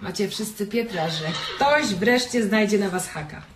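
An actress playing a bored, lonely wife in a radio drama, brash and mocking, with a provocative edge. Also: female voice, medium pitch, animated, normal speed, natural voice. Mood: bitter complaint. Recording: roomy, noisy radio play recording.

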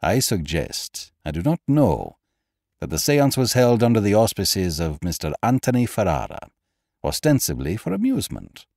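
A man playing a grave, composed doctor who questions firmly in a stern, measured, formal way for a storybook. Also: lowish voice, huge pitch swings, gravelly, darkish tone, no echo, clean studio sound, pitched above the performer's own voice, heavily acted.

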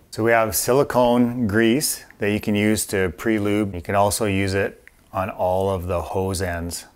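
A middle-aged man in a workshop, casually walking viewers through a motorbike part install, plain-spoken with a few fillers. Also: on the low side. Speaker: parts company host demonstrating an install. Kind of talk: casual how-to walkthrough.

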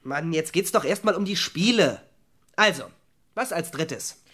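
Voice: mid-range voice, lively intonation, unhurried, a bit bright. A performer playing a good-humoured, sceptical teenage boy in a children's audio drama, questioning and easygoing. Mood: easygoing, amused.

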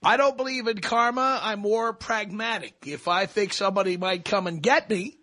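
A man's voice: sits high, moderate pace, bright, forceful tone. A man hosting a call-in radio show, blunt and pragmatic with a dry, joking manner. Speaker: radio talk show host.

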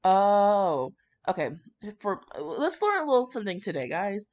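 A woman's voice: mid-range voice, very animated, unhurried, a little mellow. A woman reading aloud in a casual way, with brash, sweary, rambling commentary of her own. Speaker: fan fiction reader. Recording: slightly dull lo-fi home recording.

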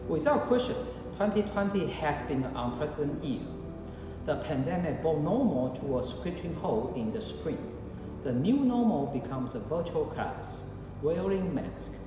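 Lively man at a podium, echoey hall. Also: fairly high pitch. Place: indoors at a podium before a backdrop. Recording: echoey hall with room noise.

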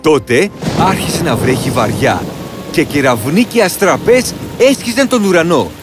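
Male fairy-tale narrator, mid pitch, extremely lively delivery, faint music behind. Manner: dramatic, highly expressive storytelling. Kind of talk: scripted storybook narration.

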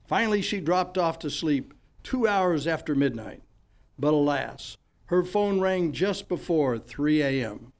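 A man in his sixties acting the narrator of an old-time radio detective mystery, deliberate and theatrical. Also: a little high, expressive, a little hiss or hum. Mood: suspenseful. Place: theatre stage, live performance. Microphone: stand mic on stage.

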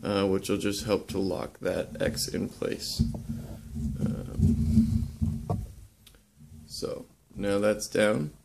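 A young man casually demonstrating how to lash boat joints, talking in a relaxed, halting way with many ums and uhs. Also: fairly low pitch, gravelly, heavy background noise. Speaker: woodworking instructor. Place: indoor workshop.

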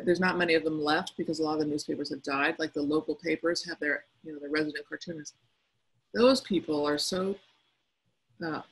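A middle-aged woman with a quiet, soft-spoken manner, speaking over a video conference call. Her voice has a gravelly edge and a husky grain. She talks casually and thoughtfully, pausing often to gather her words. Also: deep voice, rough recording. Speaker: cartoonist speaking to young listeners. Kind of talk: casual reflective talk answering questions.

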